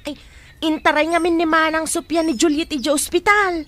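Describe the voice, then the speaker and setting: Brief: an eager neighbor in a radio play, very high bright voice. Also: female voice, steady tone, moderate pace. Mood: explaining. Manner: eager, helpful. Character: helpful young neighbor woman.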